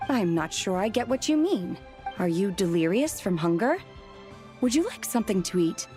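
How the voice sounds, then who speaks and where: A woman acts a reserved young woman in a fantasy game. Her mid-pitched voice is composed and matter-of-fact, with measured, polite phrasing over faint music. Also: big pitch swings, warm tone, natural voice. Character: calm, reserved, literal-minded young woman restaurant worker. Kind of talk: English video game voice acting.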